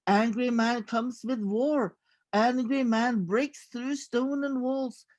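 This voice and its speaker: A woman's voice: a little high, no room sound. A woman reading a picture book aloud as its author, in a dramatic, incantatory way with intense repetition. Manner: intense, incantatory, with repeated phrases. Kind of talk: dramatic storybook read-aloud.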